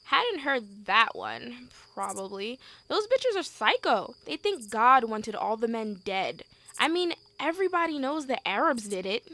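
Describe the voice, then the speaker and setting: A brash young working woman in an audio drama, played by an actress, with a high, bright voice that swings freely. She is chatty, blunt and slangy. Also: sing-song, a little slow, heavily acted. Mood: breezy, blunt. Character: brash, chatty, foul-mouthed young woman hauling corpses. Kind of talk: amateur audio drama acting.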